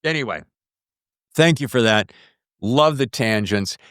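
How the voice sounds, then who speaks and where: Animated male podcast host, dry close sound. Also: mid-range voice, a little slow, clean tone, no echo. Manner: informal, jokey, salty-tongued, prone to tangents. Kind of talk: casual interview chat.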